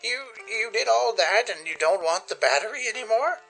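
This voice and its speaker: A man voicing a cheery, scatterbrained elderly ghoul unicorn mare with a raspy, halting voice in a radio play. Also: high voice, lively intonation, bright, forceful tone, soft background music, voice pushed up, full character voice, poor audio. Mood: grateful.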